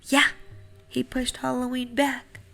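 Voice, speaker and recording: A woman reading a picture book aloud to children, expressive, asking questions and explaining words as she goes. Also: high voice, slow, vocal fry, faint music behind. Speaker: read-aloud storyteller for children.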